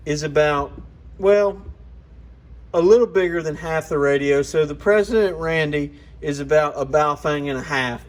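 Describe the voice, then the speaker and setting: A man hosting a casual hands-on product walkaround, talking in a plainspoken, informal, explanatory way. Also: a little high. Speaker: product reviewer and channel host.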